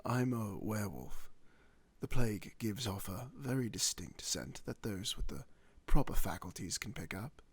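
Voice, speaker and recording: Man playing a courteous, husky werewolf doctor. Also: lowish voice, lively intonation, normal speed, no echo. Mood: polite, reassuring. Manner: formal, gently reassuring, old-fashioned wording. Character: courteous male werewolf doctor in a fantasy world. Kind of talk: ASMR roleplay.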